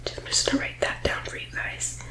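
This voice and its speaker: A woman whispering breathily in a persuasive ASMR roleplay, like a team leader giving a sales pitch, close to the microphone. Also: brisk, pushed and bright.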